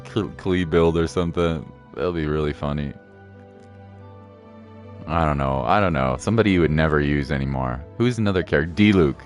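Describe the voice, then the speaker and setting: A man's voice: extremely low pitch, fairly quick. A man streaming a game, chatting casually in a slangy, jokey, rambling way. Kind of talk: casual livestream chat over gameplay. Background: game music.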